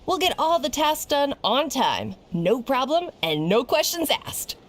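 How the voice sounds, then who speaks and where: An eager young helper from a fantasy game, earnest and reassuring. Her voice sits fairly high and moves lively. A faint hum of ambience hangs behind her. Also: a little slow. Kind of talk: game cutscene voice acting.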